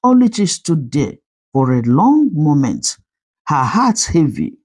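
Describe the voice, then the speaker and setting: Slow, low-pitched woman storyteller. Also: very animated, a little mellow, slightly rough. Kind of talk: scripted storytelling narration of a folktale.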